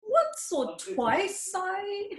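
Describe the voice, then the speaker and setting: Nervy, protesting comic stage actor, played by a woman, lively swooping pitch, echoey internet call. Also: very slow, a crowd in the background, exaggerated voice. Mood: defensive. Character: nervy, defensive male stage actor, easily scared. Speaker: amateur actor in a remote group reading. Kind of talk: comic stage-play reading. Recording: roomy home internet call.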